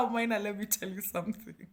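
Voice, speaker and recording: A woman in her thirties with a Kenyan accent, talking casually and animatedly as a book reviewer, candid and self-deprecating with playful asides. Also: a little high. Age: 30s.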